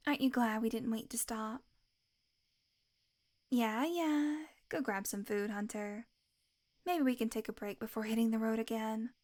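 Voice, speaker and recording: A woman playing a flirty, sarcastic female vampire passenger in an audio roleplay, with a teasing, wry manner. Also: fairly high pitch. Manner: teasing, wry, casual swearing.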